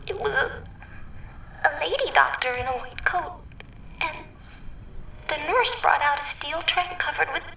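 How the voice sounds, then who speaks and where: Haunted, slow, bright-voiced machine intelligence. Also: female voice, sits high, gravelly, slight background noise, heavily acted, rough recording. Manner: halting, repetitive, drifting into whispers. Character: traumatized female machine intelligence, once a stolen child soldier. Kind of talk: radio drama acting.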